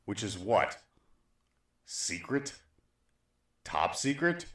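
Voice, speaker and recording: A man's voice: on the low side, big pitch swings, very slow, fairly bright tone, exaggerated voice. A man playing a grave, weary survivor leader in an apocalyptic audio drama, speaking in a terse, solemn, dramatic way. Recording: roomy echo, faint dramatic music behind.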